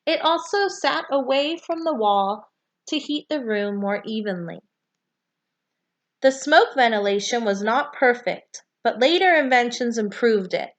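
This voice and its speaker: A woman's voice: a little high, expressive, measured pace. A woman reading a children's nonfiction book aloud as narration.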